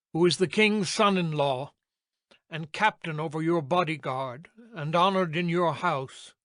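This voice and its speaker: A man playing a loyal, humble priest in a dramatized Bible, speaking with measured, earnest deference. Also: high-pitched, fairly bright tone, dry recording, studio quality. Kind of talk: dramatized Bible audio acting.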